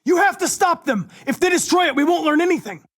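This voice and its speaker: A young American-accented android detective from a video game. His delivery is clipped and formal, relentlessly focused on the mission, yet his pitch moves widely. The recording is very dry and close. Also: expressive, dry recording. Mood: forceful, alarmed. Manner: precise, clipped, formal, measured, insistent when pressed. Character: young male android police investigator, polite, composed, relentlessly mission-driven. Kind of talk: video game voice acting, isolated dramatic lines.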